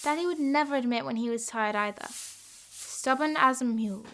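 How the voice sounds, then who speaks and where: Young woman as high-voiced, curious little sister. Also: a little fast, a bit bright. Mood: teasing, curious. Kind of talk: audio play acting.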